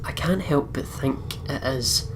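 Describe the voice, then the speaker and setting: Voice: mid-range voice, measured pace, in a whisper. A let's play host reading game narration aloud dramatically, with casual, sweary commentary.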